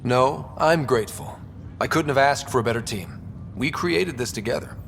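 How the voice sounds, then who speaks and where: A man's voice: expressive, normal speed, creaky, fairly bright tone. An actor playing an easygoing young male space explorer in a video game, talking in a casual, wry way. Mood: warm, casual. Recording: noisy, echoing hall, ambient rumble.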